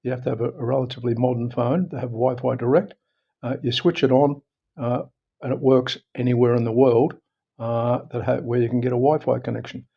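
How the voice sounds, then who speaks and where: A man with an Australian accent giving an informal, unscripted how-to talk in a halting, repetitive, explanatory way, recorded a little dull on a device microphone. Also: medium pitch, fairly even intonation, gravelly, slightly rough. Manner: halting, explanatory, often repeating himself. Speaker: presenter of a phone how-to video.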